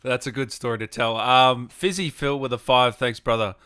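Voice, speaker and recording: Bright-voiced man hosting, crisp dry sound. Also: mid-range voice, steady tone, moderate pace, no room sound. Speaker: host, gaming interviewer. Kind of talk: casual livestream interview, reading viewer questions.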